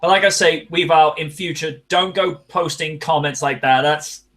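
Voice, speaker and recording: A man's voice: a little high, normal speed, a bit bright. A man hosting a casual online wrestling panel, chatty and laughing, with scolding asides to his co-hosts. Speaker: panel host.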